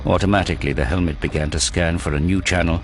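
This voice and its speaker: A man narrating a war story in a grave, deliberate, dramatic scripted style. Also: deep voice, fairly even intonation, slight background noise. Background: prominent dramatic music.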